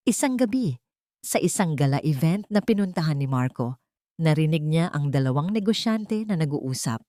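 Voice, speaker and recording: A woman's voice: sits low, animated, normal speed, dark tone, dry recording, clean studio sound. A woman reading a scripted, dramatic story as narrator.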